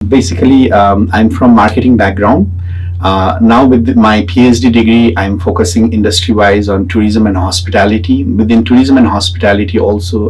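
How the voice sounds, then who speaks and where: A man from Nepal with a Nepali accent gives an explanatory academic talk. His voice is fairly low and husky. He speaks haltingly, stopping to find his words as he lays out his points. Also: low-quality recording. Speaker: PhD student and researcher. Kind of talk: semi-prepared explanatory talk about own research.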